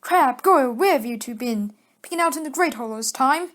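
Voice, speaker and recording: A woman's voice: high voice, animated, brisk, fairly bright tone. A woman playing a sneering, arrogant schoolboy wizard bully in an audio drama.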